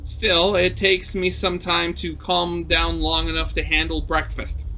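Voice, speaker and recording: A man reading a visual novel aloud as expressive narration, dramatic, with brief conversational asides. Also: fairly high pitch, unhurried, soft background music. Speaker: video game let's player reading a visual novel aloud.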